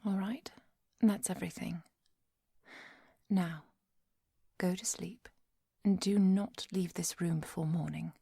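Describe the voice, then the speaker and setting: A woman playing a kind, protective vampire hunter in a soft, often whispered ASMR roleplay, speaking in a gentle, measured and formal way. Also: fairly low pitch, steady tone, creaky, slightly rough, dry recording, studio quality, full character voice. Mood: protective, calm. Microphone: close microphone, dry.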